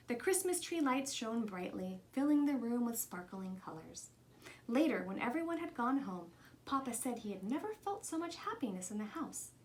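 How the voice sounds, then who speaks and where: Lively woman reading a children's story. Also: medium pitch, slight background noise. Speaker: storybook reader. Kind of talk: expressive read-aloud of a children's picture book.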